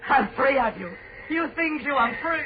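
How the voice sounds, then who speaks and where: A man's voice: extremely high pitch, expressive, moderate pace. An actor playing a frightened man cracking into hysteria in an old radio horror play, agitated and melodramatic, repeating himself in panic. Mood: hysterical. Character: frightened, frantic man trapped among ghosts, cracking into hysteria. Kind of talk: 1940s radio horror acting. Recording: muffled, noisy old radio recording.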